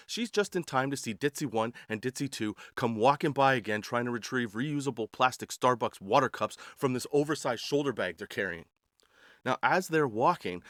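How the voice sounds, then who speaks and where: A man's voice: mid-range voice, a bit bright, dry recording, clean studio sound. A man telling casual comedic stories in a slangy, crude, sarcastic way. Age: 40s. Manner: slangy, crude, sarcastic, addressing listeners as dude. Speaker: podcast host telling travel stories.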